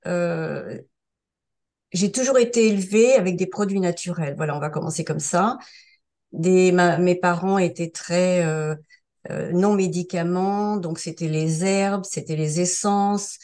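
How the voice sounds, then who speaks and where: Chatty older French woman, lowish voice. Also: a little mellow. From France. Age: around 70. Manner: hesitant, many fillers and restarts. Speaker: interview guest sharing a testimonial. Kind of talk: casual spoken testimonial.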